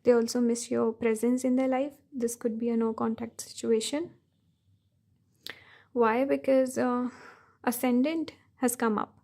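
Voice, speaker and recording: A woman giving a pick-a-card tarot reading, her voice hoarse and strained from many readings, talking through her impressions at an unhurried pace. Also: on the high side, steady tone, dark tone. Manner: thinking aloud, unhurried. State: hoarse, tired voice. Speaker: tarot reader.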